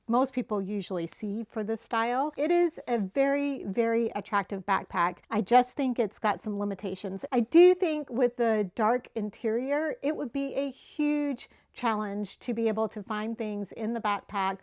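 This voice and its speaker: A middle-aged woman giving a chatty product review to camera, slightly hoarse from losing her voice, often repeating words for emphasis. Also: on the high side, no echo, clean studio sound. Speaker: travel gear reviewer.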